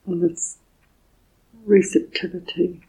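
Slow, husky woman guiding meditation. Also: fairly low pitch, level tone, poor audio. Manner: gentle, deliberate, with long pauses between short phrases. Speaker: meditation teacher. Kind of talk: guided meditation.

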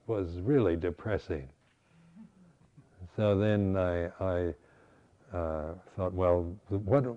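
An older man, a Buddhist teacher, giving a reflective dharma talk with halting restarts. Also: lowish voice, dull audio. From United States.

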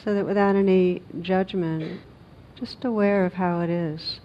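A woman guides a meditation in a very slow, even voice. She is gentle and unhurried, leaving long pauses between short phrases. The recording is a little dull, with a faint noisy rumble. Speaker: meditation teacher.

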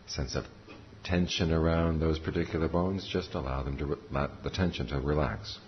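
A man giving guided meditation instruction as a teacher, calm and measured, pausing between short phrases. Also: a bass voice. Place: meditation center talk. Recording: muffled recording with faint background noise.

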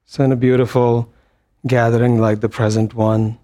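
A man leading a guided meditation as a teacher, speaking gently in short phrases with long pauses between them. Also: mid-range voice, level tone. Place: meditation hall.

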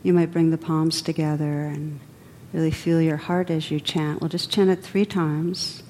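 A woman meditation teacher leading a guided meditation in a gentle, unhurried manner, pausing between phrases. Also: deep voice, level tone, slight background noise.

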